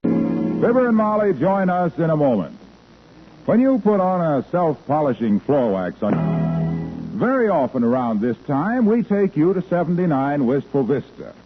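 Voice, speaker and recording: Animated male announcer on tinny old radio. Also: on the high side, measured pace, soft background music. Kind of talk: 1950s radio scripted narration.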